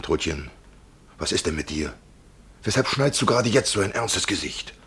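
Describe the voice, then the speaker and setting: An older man acting a jolly, boisterous old village mayor in a German radio play, hearty and husky-voiced. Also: low-pitched, animated, moderate pace, fairly bright tone, exaggerated voice. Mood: teasing concern. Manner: hearty, jovial, exclaiming.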